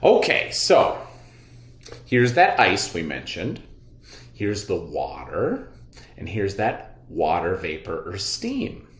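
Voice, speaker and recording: Male schoolteacher for kids' read-aloud lesson. Very lively intonation, expressive delivery, echoey room sound. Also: deep voice, slow pace. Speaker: elementary teacher.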